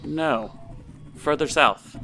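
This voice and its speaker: A man's voice: a little high, extremely slow, noisy background. An actor playing an easygoing male technician in an audio drama, talking casually and a little hesitantly. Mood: focused, puzzled.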